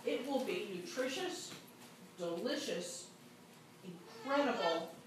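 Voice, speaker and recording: A woman's voice: mid-range voice, expressive, slow, background noise. A woman voicing cheerful, clever male travelers in a spoken storytelling, with a playful sing-song chant, heard from a distance in an echoey room. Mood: coaxing.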